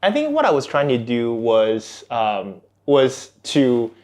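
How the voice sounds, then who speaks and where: A man's voice: mid-range voice, wildly swooping pitch, takes it slowly, a little mellow. A man speaking casually as a guest in a podcast interview, often restarting his phrases. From born outside Singapore, Chinese cultural background. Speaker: podcast guest, tech executive.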